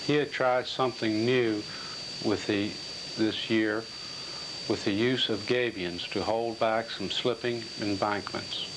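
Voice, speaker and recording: A man with a fairly low voice presents an educational newsreel as its host. He delivers scripted narration and questions over the clear background noise of a 1980s video soundtrack. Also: steady tone, slow.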